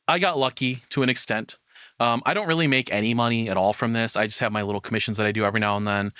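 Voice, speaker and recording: A man's voice: mid-range voice, steady tone, quick pace, a bit bright, clean tone, no room sound. Male streamer, mid-range voice, casual and rambling off-the-cuff reactions, very dry sound. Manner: rambling, self-questioning, casual swearing. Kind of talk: unscripted live reaction commentary.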